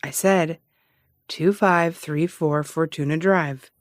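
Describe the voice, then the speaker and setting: A woman's voice: low-pitched, slow pace, dry recording. Female ex-cop detective, dogged, brash, wry teasing delivery, audio drama, dry studio sound. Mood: pressing. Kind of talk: naturalistic audio drama dialogue.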